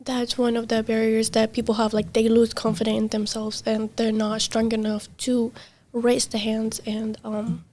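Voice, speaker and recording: A young woman, a native Arabic or Kurdish speaker, talking casually as an interviewee, halting with many fillers and trailing softly. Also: on the high side, monotone. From Middle East. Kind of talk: casual conversation. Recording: faint background noise.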